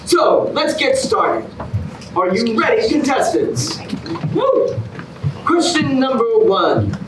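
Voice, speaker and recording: A man plays a showy TV game show host in a staged comedy, very high in pitch and swinging widely. He announces everything grandly, heard from far off. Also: big pitch swings, slow pace, full character voice. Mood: showy, upbeat. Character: showy, excitable, self-satisfied male TV contest host. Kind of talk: theatrical game show presenting in a staged reading. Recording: distant echoey stage recording, clear noise.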